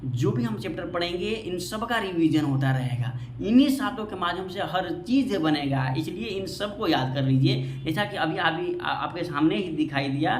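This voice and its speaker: Higher-pitched male physics teacher in echoey room. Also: animated, normal speed. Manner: repetitive, quizzes listeners then answers himself. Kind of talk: exam-prep physics lesson, question-and-answer teaching. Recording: echoey room with faint background noise.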